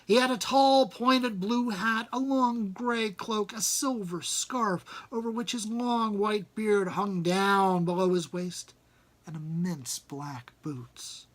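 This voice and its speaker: A man reading a storybook aloud as an audiobook narrator, with expressive delivery. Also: sits high, slow pace. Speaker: audiobook reader.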